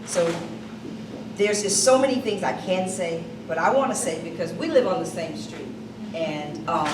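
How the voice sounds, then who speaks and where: A woman giving a loud, projecting speech about an honoree at a community reception, at times off script. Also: on the low side, lively intonation, moderate pace. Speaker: speaker presenting an honoree. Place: hall at a museum reception. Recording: echoey hall recorded from far off with noise.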